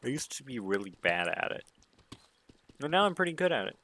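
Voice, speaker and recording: A man with a somewhat deep voice chatting casually to himself as he streams a game, rambling and musing. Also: sing-song, fairly quick, bright, forceful tone. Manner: rambling, self-musing talk. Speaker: gaming livestreamer. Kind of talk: casual solo gaming stream chat.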